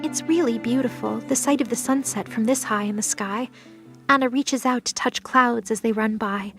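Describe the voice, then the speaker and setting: High-voiced woman narrating a dramatic story. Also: moderate pace. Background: music.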